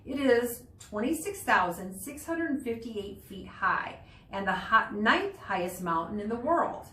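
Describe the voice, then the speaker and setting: A woman's voice: fairly high pitch, fairly bright tone. Woman reading aloud, distant and echoey. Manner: explanatory, pauses to explain words and pictures. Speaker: teacher reading aloud to students. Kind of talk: read-aloud of a children's nonfiction book. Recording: roomy, distant mic with background noise.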